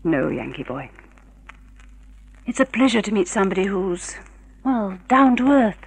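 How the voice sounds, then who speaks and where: A woman's voice: medium pitch, very animated, unhurried, gravelly, slightly rough, exaggerated voice. A woman playing an arch, sophisticated, flirtatious society woman in a vintage radio drama. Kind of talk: 1950s radio drama acting.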